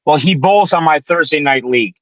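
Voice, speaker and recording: A man's voice: fairly high pitch, brisk, dry recording. A man in his twenties from the Detroit area, chatting about sports as a podcast guest in a rambling, joking, profane way. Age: 20s. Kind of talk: casual sports chat.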